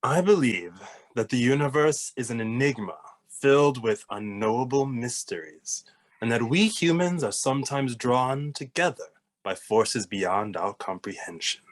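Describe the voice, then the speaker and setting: A man playing a weary, serious ghost detective with a deadpan manner in an animated comedy table read. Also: mid-range voice, unhurried, natural voice. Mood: solemn. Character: weary, serious male ghost detective, older-brother type.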